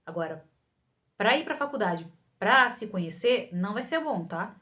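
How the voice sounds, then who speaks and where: A woman's voice: mid-range voice, lively intonation, normal speed, a bit bright. A young adult Brazilian woman with a Carioca accent, answering followers' questions in an informal, conversational way, often checking in with listeners. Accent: Carioca Brazilian Portuguese. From Rio de Janeiro, Brazil. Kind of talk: casual talk to camera, Q&A.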